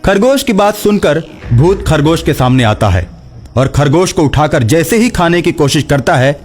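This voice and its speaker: A man's voice: a little high, animated, moderate pace, a little mellow. A man narrating a scripted children's story, with music behind him.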